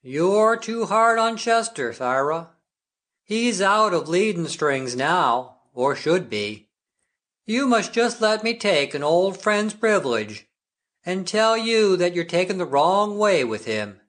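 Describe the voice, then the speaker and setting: Kindly older man, unhurried and gentle, read by a woman narrator. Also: fairly low pitch, very animated. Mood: pitying. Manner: gentle, pitying. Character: kindly older man, old family friend.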